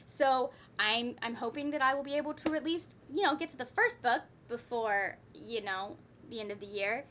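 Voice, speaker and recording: A woman with a high, bright voice talks casually to her viewers as a book vlogger. She is chatty and wry, breaking into her own sentences with asides to herself. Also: normal speed, slight background noise.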